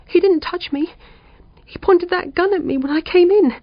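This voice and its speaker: A woman reading a story, voicing a shaky, excitable woman with a tremulous, breathless manner. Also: very high voice, normal speed, no echo, muffled recording, voice pushed up. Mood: tearful, proud. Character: June, shaky excitable woman burgled at home.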